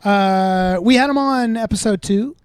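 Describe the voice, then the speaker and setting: Male podcast co-host, high-pitched voice, casual and irreverent news banter. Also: measured pace, clear, resonant tone, no echo. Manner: irreverent, crude slang. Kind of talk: casual chat, joking news segment.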